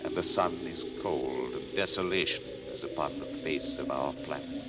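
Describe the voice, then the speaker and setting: An actor playing the ancient last survivor of a subatomic race, speaking in solemn, archaic, ceremonial phrases in a 1950s radio drama. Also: male voice, very deep voice, moderate pace, heavy background noise. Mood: mournful. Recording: old radio broadcast with music behind.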